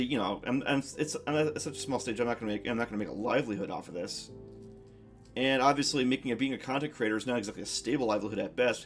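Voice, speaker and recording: A young man talks casually on a stream in a masculine voice that sits at an ordinary middle pitch. He muses aloud, wandering through thoughts with restarts and fillers. Faint music plays behind him. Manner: rambling, reflective, many restarts and fillers.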